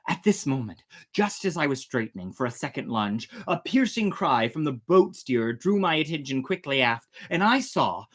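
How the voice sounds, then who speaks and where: A storyteller reading a tale aloud dramatically, theatrically swelling and hushing. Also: mid-range voice, sing-song, moderate pace. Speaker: storyteller, host.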